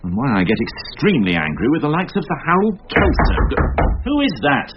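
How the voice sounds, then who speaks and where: An actor playing an irascible, exasperated police inspector in a radio crime drama, brusque, sarcastic and impatient with those under him. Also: male voice, medium pitch, huge pitch swings, a little fast. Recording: dull radio recording with noise.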